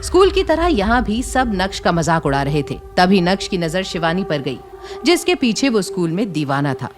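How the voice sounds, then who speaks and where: A woman's voice: fairly low pitch, sing-song, moderate pace, over music. A woman narrating a scripted audio story in a dramatic storytelling style.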